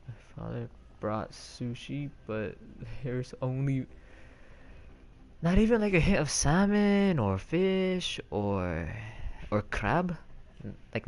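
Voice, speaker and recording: A man's voice: medium pitch, clear, resonant tone. A man streaming from home on a headset mic, rambling in casual chat with many fillers and restarts.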